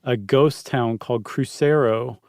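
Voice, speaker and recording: A man with a medium-pitched voice talks as a podcast co-host. He describes things in a chatty, conversational way, with a very dry, close sound. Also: steady tone, unhurried, vocal fry, darkish tone, no room sound. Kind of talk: casual conversational explaining.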